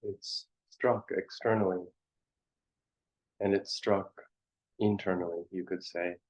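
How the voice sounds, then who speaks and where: A man guides a meditation in a low voice, very slowly and with hardly any melody. He is contemplative, offering short halting phrases with long pauses between them. The recording is dull and lo-fi. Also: fairly even intonation, a little mellow. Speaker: meditation teacher.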